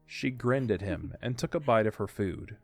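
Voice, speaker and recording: A man's voice: fairly low pitch, animated, moderate pace. A man narrating a scripted audio drama, with music behind his voice.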